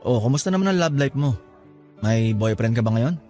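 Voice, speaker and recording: A modest young man voiced by an actor in a romantic audio drama, gently teasing an old flame. His voice sits at a middle pitch, with music playing softly behind it. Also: expressive, fairly quick, natural voice. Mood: wistful, friendly. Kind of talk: Filipino audio drama acting.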